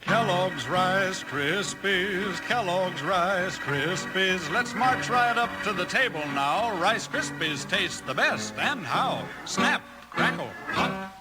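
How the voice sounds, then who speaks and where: A man sings an old-time radio advertising jingle. His voice is high and drawn out. Music plays loudly behind him. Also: lively intonation, takes it slowly, poor audio. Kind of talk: 1950s sung advertising jingle.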